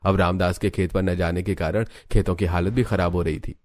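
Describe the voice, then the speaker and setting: A man's voice: low-pitched, fairly even intonation, fairly quick, darkish tone. A man narrating a scripted cartoon story as the storyteller.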